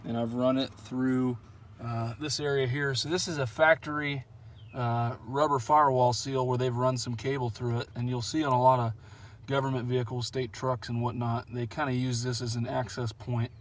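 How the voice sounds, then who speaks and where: A man's voice: medium pitch, normal speed. A man giving a casual, folksy how-to walkthrough of a truck install outdoors, with frequent "uh" fillers. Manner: relaxed, lots of "uh" fillers, folksy. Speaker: truck owner showing an install.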